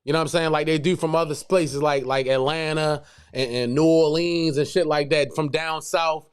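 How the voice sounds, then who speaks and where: High-voiced stammering host. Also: male voice, fairly even intonation, moderate pace, clear, resonant tone. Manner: chatty, stammering, profane. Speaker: podcast host. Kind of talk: casual podcast talk.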